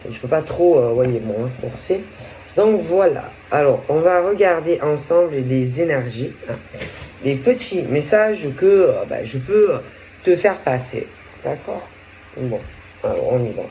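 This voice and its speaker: A woman with a head cold and a sore throat, giving a casual tarot reading in a halting way, often searching for words. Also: sits low, animated, warm tone, slight background noise, poor audio. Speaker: tarot reader.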